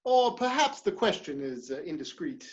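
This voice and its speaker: Woman doing a formal courteous gentleman politician, lively pitch, bit muffled mic, period comedy. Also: normal speed. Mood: polite, probing. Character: courteous, curious male politician and society host. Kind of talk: dramatic reading of a period stage comedy.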